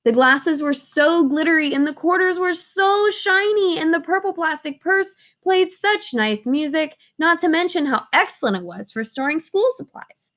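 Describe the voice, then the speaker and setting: A woman reading a children's story aloud with expressive, emphatic phrasing. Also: very high voice, normal speed.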